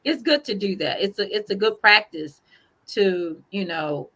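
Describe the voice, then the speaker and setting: A woman's voice: medium pitch, animated, a little slow, fairly bright tone. A woman co-hosting a casual chat show, giving encouraging advice with plenty of "you know" fillers. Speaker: co-host.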